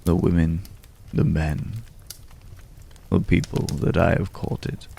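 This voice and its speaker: A man acting a mysterious, courtly, world-weary man in an intimate, hushed ASMR roleplay, with florid, old-fashioned, pensive phrasing and a gravelly voice. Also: deep voice, slow pace, a little hiss or hum, exaggerated voice. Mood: wistful, pensive.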